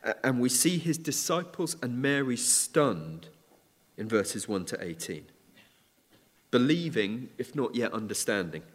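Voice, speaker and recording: A man preaching a sermon in a church, measured and earnest, with occasional restarts. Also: mid-range voice, big pitch swings. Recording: church hall reverb.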